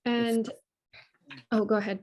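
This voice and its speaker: A woman's voice: a little high, flat delivery, a little slow, darkish tone. A woman chatting casually, politely and a little tentatively asking questions. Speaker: actress at a table read. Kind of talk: casual chat. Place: indoors on a video call.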